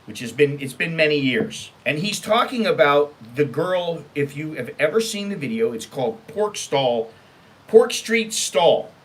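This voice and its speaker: American man, slightly high voice, very animated intonation, blunt, exasperated, sweary, casual storytime host. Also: measured pace. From United States. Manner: emphatic, exasperated. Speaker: online storytime show host. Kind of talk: casual rant, reading out and commenting on emails.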